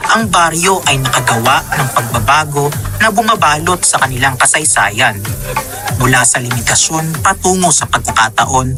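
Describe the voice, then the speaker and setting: A man's voice: a little high, close to an everyday voice. A man narrating a radio drama as a solemn, hopeful storyteller of a village tale. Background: music.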